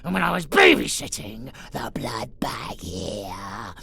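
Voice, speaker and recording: An actor playing a savage, ravenous vampire abomination in a horror audio roleplay, snarling taunts and curses, swinging between whispers and shouts. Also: medium pitch, wildly swooping pitch, slow, creaky, exaggerated voice. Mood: menacing, brooding.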